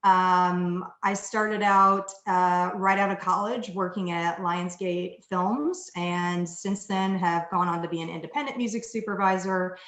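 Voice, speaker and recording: A woman's voice: on the low side, steady tone, a little slow, hardly any pauses. Chatty woman in her forties, echoey. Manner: warm, chatty, self-deprecating. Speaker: music supervisor. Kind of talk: casual self-introduction. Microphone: webcam on video call.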